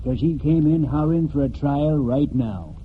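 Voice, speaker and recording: An actor playing a kindly small-town judge in 1940s radio drama, courteous and folksy with a hesitant delivery. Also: male voice, a little high, normal speed, lightly acted. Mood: sheepish, kindly. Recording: muffled, noisy 1949 radio recording.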